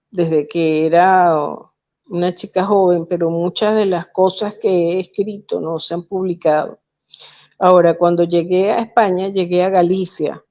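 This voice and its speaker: A Venezuelan woman with a Venezuelan Spanish accent, speaking as a guest poet over a video call, measured and thoughtful with hesitant fillers. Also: on the low side, mellow, dull audio. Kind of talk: remote interview, reflective storytelling.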